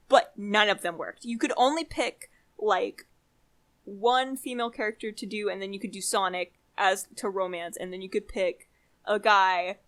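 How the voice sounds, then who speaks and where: A woman's voice: medium pitch, a bit bright. A young woman co-hosting a podcast in casual, candid chat, informal and a little self-deprecating. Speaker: podcast co-host.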